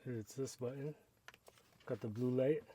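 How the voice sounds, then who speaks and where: A man talks through a hands-on install in an easy, unhurried way, narrating each step as he goes. His pitch sits in a middle range. There is a faint hiss of noise behind him. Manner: relaxed, step-by-step explaining while working with hands. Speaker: product reviewer. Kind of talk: casual how-to narration.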